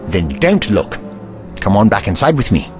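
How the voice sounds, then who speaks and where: An actor playing an eccentric, kindly and curious investigator in an audio drama, pensive and courtly in manner. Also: male voice, fairly low pitch, sing-song, normal speed, over music. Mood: pensive, concerned.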